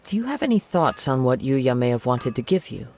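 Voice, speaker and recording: A man voicing a calm young traveler in a video game, politely questioning people. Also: medium pitch, brisk, a little hiss or hum. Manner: polite, steady. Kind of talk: game voice acting.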